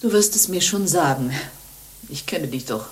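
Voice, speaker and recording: An actress playing a pious, world-weary nun in a radio play, solemn and dramatic with an indignant, scornful edge. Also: female voice, deep voice, animated, moderate pace, slight background noise. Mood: stern, scornful. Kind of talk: German radio play acting.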